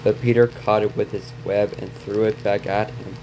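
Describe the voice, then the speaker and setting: Male reaction host, lower register, halting read-aloud with stumbles and casual asides, clearly noisy hissing recording. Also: level tone, a little mellow. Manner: halting reading, stumbling over words and repeating them. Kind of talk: reading on-screen story text aloud with asides.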